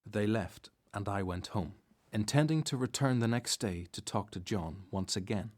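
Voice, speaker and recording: An actor playing a devout disciple who narrates a radio Bible drama in a reflective, scripted style. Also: male voice, on the low side, normal speed, darkish tone, lightly acted. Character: male disciple recounting events, reflective and devout.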